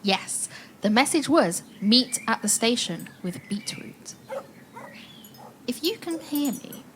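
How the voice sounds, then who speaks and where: A woman playing an adventurous storyteller in an audio play for children, addressing listeners directly with dramatic pauses. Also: fairly high pitch, animated, background noise. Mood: inviting, intriguing.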